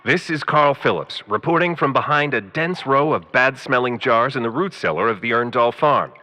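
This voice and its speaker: A man's voice: medium pitch, expressive, moderate pace, pushed and bright, big-room sound. A man playing a cowardly, defensive radio field reporter in a comedic old-time radio drama, brash and emphatic. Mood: self-important.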